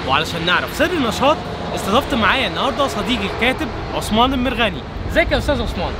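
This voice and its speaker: A man's voice: very high voice, big pitch swings, over loud music. A young man with an Egyptian Arabic accent, hosting a casual vlog outdoors at night and chatting with a guest. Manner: brisk and upbeat, addressing viewers directly. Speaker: vlogger and interviewer. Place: outdoors at night by a city waterfront.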